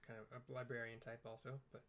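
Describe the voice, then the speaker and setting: A man casually describing a video game's cutscenes as they play, in a relaxed way and often correcting himself. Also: mid-range voice, fast, a little hiss or hum. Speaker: let's play narrator. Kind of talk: casual commentary on a game.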